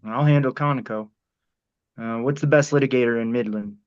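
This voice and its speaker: A man's voice: medium pitch, fairly quick, muffled recording, lightly acted. A man acting a shrewd oilman in his fifties in a script read, blunt and assured. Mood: brisk, blunt. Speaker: actor.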